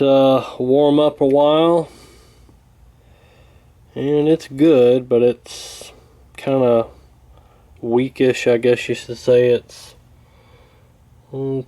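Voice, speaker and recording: A man giving a casual hands-on repair walkthrough in a folksy, hesitant, unhurried way. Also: mid-range voice, mellow. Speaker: radio repair hobbyist demonstrating.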